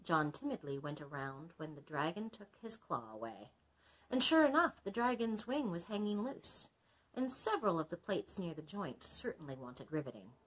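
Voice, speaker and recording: A woman's voice: lowish voice, sing-song, normal speed, poor audio. A woman librarian reading a story aloud expressively, breaking off to explain things in chatty asides.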